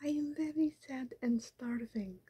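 A woman's voice: high voice, measured pace, husky, full character voice. A young woman in an ASMR roleplay, whispering as a scheming female vampire in silly disguises who pleads in broken, formal English. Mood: wheedling. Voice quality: soft whisper. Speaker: ASMR creator. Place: small bedroom with pink backdrop. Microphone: close webcam framing.

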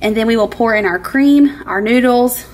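A woman's voice: on the high side, normal speed, darkish tone, a little hiss or hum. A woman in her thirties hosting a home cooking vlog in a chatty, informal way, narrating casually as she goes. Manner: chatty, informal, laughs easily. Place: home kitchen.

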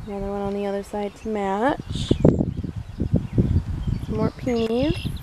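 A woman's voice: medium pitch, fairly even intonation, unhurried. Chatty woman filming an outdoor garden walk. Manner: chatty and unsure, hedging her plant names. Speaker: guide filming a garden tour. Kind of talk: casual walking garden tour. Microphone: handheld camera mic. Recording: loud outdoor noise on a camera mic.

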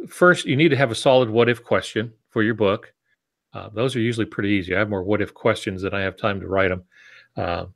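An American man in his sixties teaching informally with asides, talking on a video call from a home office. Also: lowish voice. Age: 60s. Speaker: writing teacher, podcast co-host. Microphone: wired earbuds on a video call.

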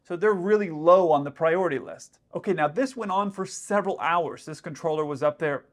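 A man in his thirties, an airline pilot, explaining radio exchanges to camera in a casual, step-by-step way, recorded in a dim indoor room. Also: fairly high pitch. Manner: explanatory, with frequent "let's say" asides.

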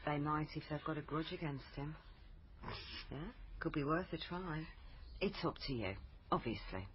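An actress with a British accent playing a probing, authoritative police liaison officer in a naturalistic radio drama. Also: female voice, low-pitched, normal speed, lo-fi sound, a little hiss or hum, natural voice. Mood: calm, persuasive. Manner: pointed, interrogating. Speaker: actor in a radio crime drama.

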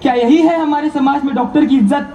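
A man playing a beaten but dutiful doctor in a stage drama, pleading in loud, declamatory, repetitive phrases. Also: very high voice, fast, muffled recording. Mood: anguished. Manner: declamatory, repeats phrases for emphasis. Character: beaten, anguished yet dutiful male doctor, pleading to society. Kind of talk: loud theatrical stage monologue. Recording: echoey hall from far off, noisy.

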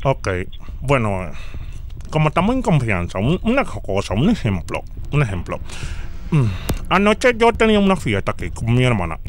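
A man's voice: mid-range voice, huge pitch swings, moderate pace, slight background noise. A man with a Dominican accent playing a nervous, rambling young man on a prank phone call. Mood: hesitant, confiding. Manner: hesitant, rambling, confiding. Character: nervous, rambling young man worried about a job drug test. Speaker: prank caller. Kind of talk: improvised prank phone call, casual chat.

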